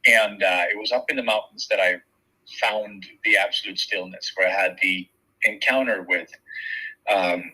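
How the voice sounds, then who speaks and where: A man in his forties from Sweden with a Swedish accent, a shaman and Reiki practitioner talking reflectively as an interview guest, softly and with many pauses. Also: on the low side, husky, low-quality recording. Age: 40s. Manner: soft-spoken, searching for words, many pauses.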